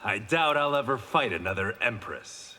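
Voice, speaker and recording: A wry, proud warrior of a fighting game, played by a man, with a low voice that swings expressively. His lines are terse and guarded, set in a long hall reverb. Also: expressive, normal speed, heavy background noise, lightly acted. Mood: dry, guarded. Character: proud, wry blind swordsman, former gangster. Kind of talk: video game fight banter.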